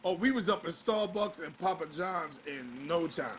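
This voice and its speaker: A male show host, slightly hoarse, talking in a casual commentary style and firing off exasperated rhetorical questions. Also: on the high side, lively intonation, normal speed, fairly bright tone, slight background noise.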